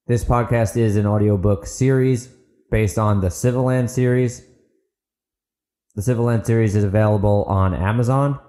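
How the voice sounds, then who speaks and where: A man's voice: fairly low pitch, mellow. A man narrating and hosting an audiobook fiction podcast. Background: guitar music.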